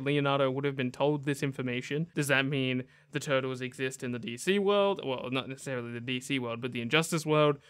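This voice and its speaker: A man's voice: on the high side, clean tone. A young man hosting a gaming video with casual, enthusiastic, slangy commentary. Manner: chatty, slangy, effusive.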